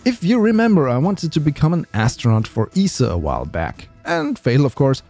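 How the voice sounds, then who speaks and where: Lively man narrating a space vlog. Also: fairly high pitch, brisk, soft background music. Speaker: space video creator.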